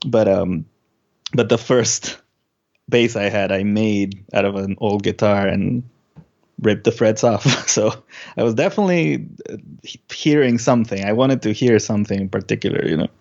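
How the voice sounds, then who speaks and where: A male musician from Peru who grew up speaking recounts things in a relaxed interview. His voice sits on the low side and he feels his way through sentences haltingly. The sound is a little dull and lo-fi. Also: vocal fry. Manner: halting, thinking aloud. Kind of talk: casual interview storytelling.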